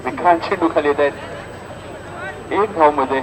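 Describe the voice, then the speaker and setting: A man's voice: on the high side, a little slow. A man with a Maharashtrian accent doing live cricket commentary and announcements at an outdoor local tournament, emphatic and repeating the score as he calls the play. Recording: noisy outdoor recording with loud crowd.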